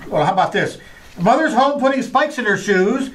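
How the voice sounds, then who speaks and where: An actor playing a grandiose, florid poet who declaims doggerel theatrically in an old-time radio comedy. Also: male voice, extremely high pitch, lively intonation, moderate pace, a bit bright. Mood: grandiose, eager.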